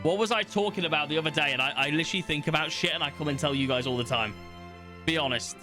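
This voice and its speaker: A man's voice: on the high side, fast talker, music in the background. A young man, casually chatting to his stream audience as he reads out questions, blunt and swearing now and then. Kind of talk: casual livestream chat, reading test questions aloud.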